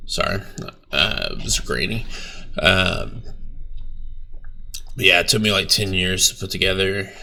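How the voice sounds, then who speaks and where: A young man, a bassist, talking casually about his band's video in a laid-back, rambling way with lots of fillers and some swearing. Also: unhurried, creaky, long pauses, sharp tone, recorded from a distance. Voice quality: low voice. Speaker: bass player reacting to his band's video. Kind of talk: casual reaction vlog.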